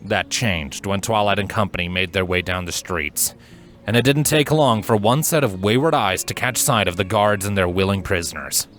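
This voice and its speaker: A man narrates fiction in a fairly low voice. His delivery is scripted, dramatic and measured, with expressive phrasing. Soft music plays behind him. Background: background music.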